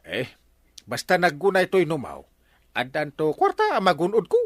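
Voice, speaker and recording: A man with an Ilocano accent playing an excitable, scheming village captain in a Filipino comedy radio drama. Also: fairly high pitch, normal speed, no echo, clean studio sound. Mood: defensive. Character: excitable scheming male village captain chasing buried riches.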